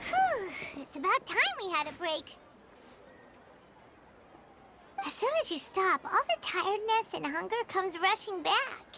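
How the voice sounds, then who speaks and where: A woman voicing a tiny, excitable, chatty fairy companion in a video game, with a squeaky childlike voice. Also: normal speed, slight background noise, heavily acted. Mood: weary relief. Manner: excitable, exclaiming, refers to self by name.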